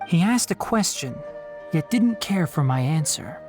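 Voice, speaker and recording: An actor playing a young, earnest and principled scholar in a video game, speaking in a measured, formal, guarded way. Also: male voice, on the high side, animated, over music. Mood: concerned, reflective.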